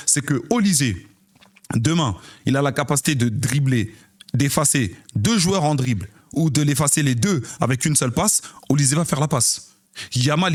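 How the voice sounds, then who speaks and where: A young man hosting a live football debrief, arguing in casual street slang and repeating himself to get the floor. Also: mid-range voice. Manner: insistent, repeats phrases to cut in; street slang, calls people brother. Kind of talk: heated casual debate on a live stream.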